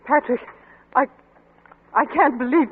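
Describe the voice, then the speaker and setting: A woman acting a distraught, accusing wife in a 1940s radio suspense play, pleading emphatically in a husky voice. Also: high-pitched, unhurried. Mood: frightened. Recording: thin, narrow-band old radio recording.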